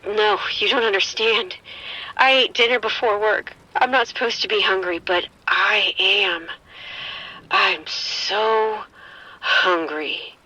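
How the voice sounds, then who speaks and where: An actress playing a frightened woman who has been bitten and is falling ill, speaking in shaken, halting bursts in an audio drama. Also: mid-range voice, bright, forceful tone, full character voice, low-quality recording. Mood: sick, fading. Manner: shaken, halting, breathy fear.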